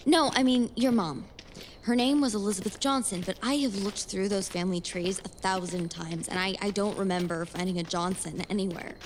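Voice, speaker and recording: A woman's voice: medium pitch, moderate pace, slight background noise, lightly acted. A woman playing a stubborn, sarcastic young woman with an American accent in a naturalistic audio drama. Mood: puzzled.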